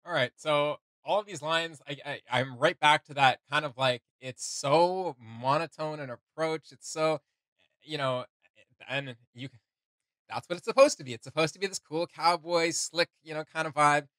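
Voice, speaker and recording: A young man gives casual reaction commentary. His voice is bright and forward, a little high for a man, in a dry, close-sounding room. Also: moderate pace, no room sound. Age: young adult. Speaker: reaction video host. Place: small indoor room with gaming setup.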